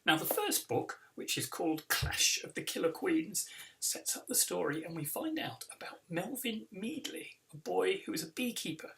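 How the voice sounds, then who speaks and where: Bright, creaky British man reading a storybook. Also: sits high, far from the mic, low-quality recording. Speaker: author reading own book. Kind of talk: expressive children's storybook reading.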